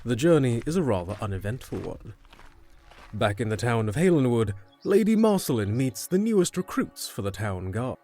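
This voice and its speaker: A man's voice: medium pitch, big pitch swings, moderate pace, warm tone, soft background music. A man narrating a scripted fantasy audio drama as a storyteller.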